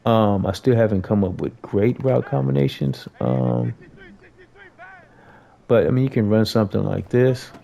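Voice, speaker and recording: A man's voice: fairly low pitch, lively intonation, unhurried, gravelly, mellow. An American man giving a casual, conversational gameplay tutorial, talking informally to viewers as a host.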